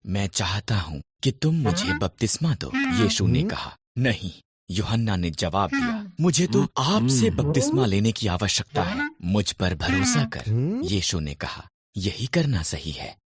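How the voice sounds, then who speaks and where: A narrator telling scripted Bible stories for children in a deliberate storytelling delivery. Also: low voice, very animated, breathy edge, dry recording. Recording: background music under the narration. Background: music.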